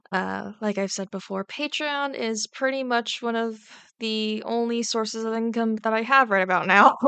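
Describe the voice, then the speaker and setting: A woman's voice: on the high side, vocal fry, fairly bright tone, no room sound. A woman chatting casually to her viewers in a gushing, playful, rambling way. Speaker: YouTube ASMR voice actor.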